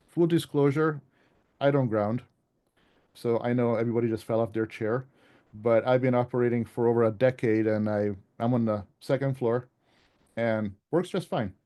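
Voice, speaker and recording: A man presents his work to a club in an informal, conversational manner. His voice is mellow and relaxed, at an ordinary male pitch. He comes across as self-deprecating as he walks through his slides. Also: clear, resonant tone. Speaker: author giving a club presentation.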